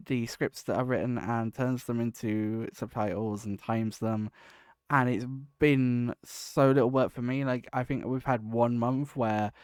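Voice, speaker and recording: Man, podcast host, rambling self-correcting talk, smooth clear voice, dry close sound. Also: mid-range voice, no room sound. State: sniffly. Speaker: podcast host and project lead. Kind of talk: casual solo podcast talk.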